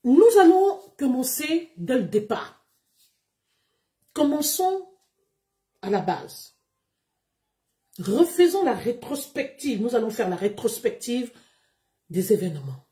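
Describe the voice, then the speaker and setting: A woman's voice: a little high, sing-song, slow pace, pauses often, mellow. A woman, speaking to her followers in an emphatic, declamatory livestream monologue. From Gabon. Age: 30s. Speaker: live streamer.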